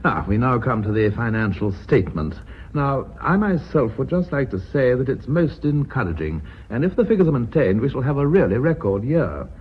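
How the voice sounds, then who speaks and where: A man's voice: mid-range voice, sing-song, normal speed, breathy edge. An actor playing a genial, courteous older British company chairman, speaking formally in a radio drama. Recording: slightly dull, faintly noisy radio recording.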